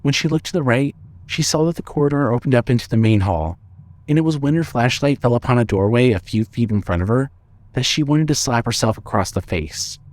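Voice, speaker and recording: A man narrating a scripted suspense story in a measured, dramatic storytelling manner. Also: mid-range voice, expressive, darkish tone.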